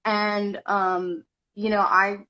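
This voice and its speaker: Woman from zimbabwe and kenya, bright forward voice, chatting reflectively as interview guest. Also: mid-range voice, flat delivery, takes it slowly, muffled recording. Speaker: interview guest, actor and director. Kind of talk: conversational personal storytelling. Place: live video call.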